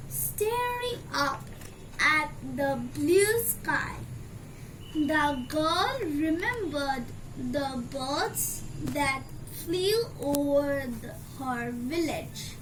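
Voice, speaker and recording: A woman reading a children's story aloud in a deliberate, phrase-by-phrase storytelling delivery. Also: high-pitched. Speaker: storybook reader. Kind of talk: scripted storybook narration for children. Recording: clearly noisy amateur recording.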